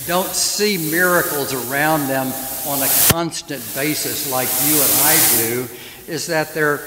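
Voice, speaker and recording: A man's voice: on the high side, a little slow. A man in his seventies, a priest giving a sermon and telling stories, often turning to the congregation with questions. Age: 70s. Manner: gentle storytelling preacher who asks the congregation questions. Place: church. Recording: echoey church hall with hiss.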